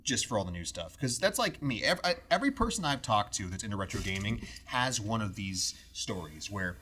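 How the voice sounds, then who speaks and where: A man's voice: lowish voice, big pitch swings, brisk, bright, forceful tone, husky. A man chatting casually as a podcast co-host, emphatic and jokey, given to playful outbursts. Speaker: podcast co-host, works at a retro video game store. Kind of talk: casual, bantering pop-culture podcast chat.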